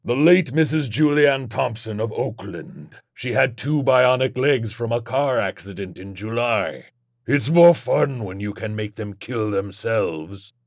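Demon villain for an audiobook: booming, boastful, cruel, dry studio sound. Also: male voice, lively intonation, no echo. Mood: sadistic glee. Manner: slow, taunting, drawn-out delivery. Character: boastful, sadistic demon possessing a city.

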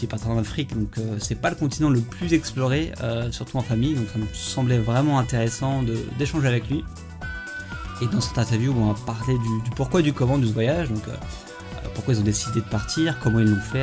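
A man hosting a travel podcast, chatting casually with frequent fillers and restarts. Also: medium pitch. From France. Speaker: podcast host.

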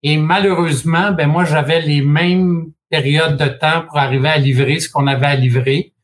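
A man in his sixties from Quebec with a Québécois French accent, a guest in an interview, telling his story in a measured way with frequent hesitations and dry humor. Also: fairly high pitch, fairly even intonation. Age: 60s. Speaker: guest, franchise expert.

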